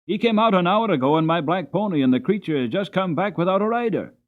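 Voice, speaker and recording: Man as a firm farmer father, highish voice. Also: lively intonation, fast talker, phone-quality sound. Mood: anxious.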